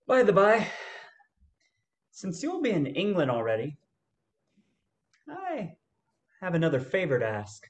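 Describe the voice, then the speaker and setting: An actress playing a genial, scheming adventurer in a radio play, with a jaunty, coaxing manner. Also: female voice, fairly low pitch, wildly swooping pitch, unhurried, long pauses.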